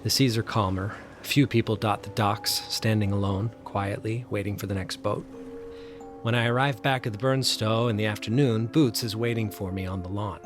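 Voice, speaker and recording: Audio drama lead: male actor as wistful, troubled middle-aged man, halting and reflective, music beneath. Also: fairly low pitch, steady tone, close to an everyday voice. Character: troubled, wistful grieving man returning to childhood haunt. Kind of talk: audio drama acting with first-person narration.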